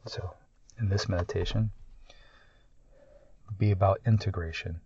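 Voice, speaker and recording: A man leading a guided meditation in a calm, soothing, measured way, pausing often between short phrases. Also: sits low, husky, no room sound, muffled recording.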